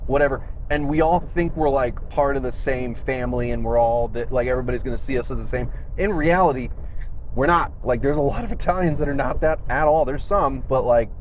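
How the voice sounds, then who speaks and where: A man's voice: mid-range voice, fairly quick. Young man, podcast host, casual chat, fillers and cursing, faint car hum. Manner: rambling, swears a lot, many fillers.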